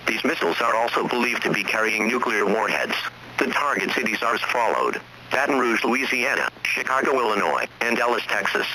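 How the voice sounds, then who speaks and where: A man reading a scripted emergency alert in a formal, impersonal manner. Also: mid-range voice. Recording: noisy radio-style emergency broadcast.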